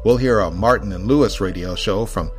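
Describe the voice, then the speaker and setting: A man's voice: fairly low pitch, fairly quick. Man narrating over music. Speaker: host of an old-time radio podcast. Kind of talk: scripted narration.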